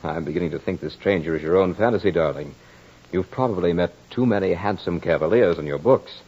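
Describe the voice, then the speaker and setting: Deep-voiced brooding nobleman, vintage radio horror. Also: a little fast, darkish tone, husky. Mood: probing, skeptical. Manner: formal, grave, theatrical delivery. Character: brooding French nobleman husband, narrating his tale of dread. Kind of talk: old-time radio horror acting, dramatic first-person narration. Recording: muffled old radio recording with music.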